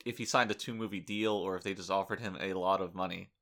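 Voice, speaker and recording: A young man co-hosts a casual podcast with a bright, pushing tone and a voice that sits a touch low. His humor is dry and deadpan, and he often stops and restarts mid-sentence. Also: quick pace. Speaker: podcast co-host. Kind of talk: casual comedic chat.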